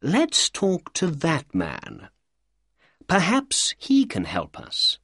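A narrator playing a confident, shrewd male detective in an audiobook story, courteous, deliberate and assured. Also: mid-range voice, wildly swooping pitch, fairly bright tone, husky, dry recording, studio quality, voice pushed up, full character voice. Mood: quietly thoughtful. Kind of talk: audiobook character dialogue.

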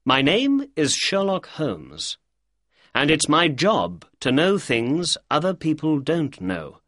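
A narrator playing a confident, shrewd male detective in an audiobook story, courteous, deliberate and assured. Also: medium pitch, huge pitch swings, a bit bright, dry recording, clean studio sound, pitched above the performer's own voice, exaggerated voice. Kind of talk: audiobook character dialogue.

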